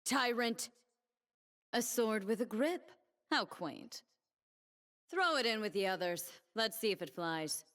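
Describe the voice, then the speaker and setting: An actress voicing a proud, commanding warrior dancer who defends her homeland, speaking solemn, measured lines for a video game. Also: fairly high pitch, lively intonation, fairly bright tone, long reverb tail. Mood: fierce, commanding.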